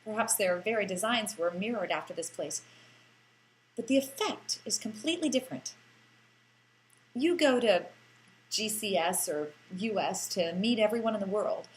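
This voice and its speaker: A woman's voice: medium pitch, slight background noise, low-quality recording. A woman narrating a first-person story like an audiobook, with a theatrical, lilting delivery and lingering phrases.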